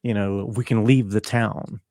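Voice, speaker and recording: Slow-talking man with a low voice. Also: fairly even intonation, a little mellow. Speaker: interview guest, composer and musician. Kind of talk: casual conversational interview talk.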